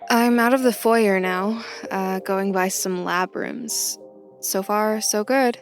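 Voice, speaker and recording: Inquisitive young female newcomer, wary, conversational, medium pitch, podcast drama, faint music underneath. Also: steady tone, slow pace. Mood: exploring, intent. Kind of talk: audio fiction podcast acting.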